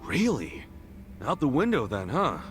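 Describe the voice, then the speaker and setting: An actor playing a brash, good-hearted ex-yakuza in his forties for a video game, with a casual, blunt, slangy and expressive delivery. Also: mid-range voice, a little slow, music in the background. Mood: surprised, curious. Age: 40s. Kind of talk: English video game voice acting.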